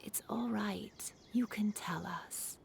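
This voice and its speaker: A woman voicing a gentle, anxious middle-aged mother in an anime game. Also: mid-range voice, takes it slowly, vocal fry, heavy background noise. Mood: worried.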